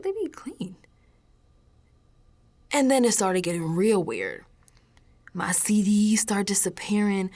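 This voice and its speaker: Radio drama lead: actress as young woman, unraveling yet defiant, slow colloquial delivery with wide swings in pitch. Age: young adult. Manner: colloquial, halting, slow, drifting into hushed tones. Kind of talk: dramatic first-person monologue, radio drama.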